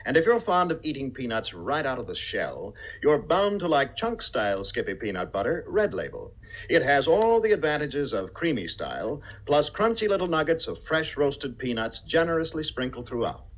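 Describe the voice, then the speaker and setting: A man's voice: mid-range voice, sing-song, moderate pace, dull audio. A man working as a radio announcer in a polished, formal 1950s style, reading scripted copy.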